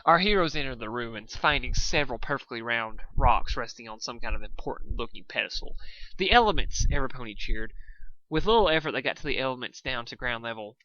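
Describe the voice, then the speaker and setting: A man's voice: medium pitch, a little fast, sharp tone, dull audio. A man reading fan fiction aloud as an amateur narrator, stumbling over and repeating words now and then.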